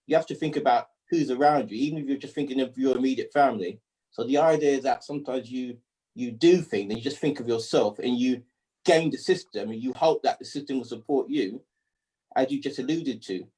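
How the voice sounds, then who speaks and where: A man speaking as a panelist in an online discussion, reflective and questioning, often pausing to search for words. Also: mid-range voice, dark tone, low-quality recording.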